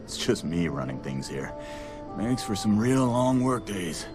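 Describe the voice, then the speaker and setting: Casting: overworked general-store keeper, very low voice, tired and plain-spoken, game dialogue over clear music. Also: male voice, sing-song, measured pace. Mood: weary. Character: weary overworked frontier general-store keeper, adult man. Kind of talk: video game character dialogue.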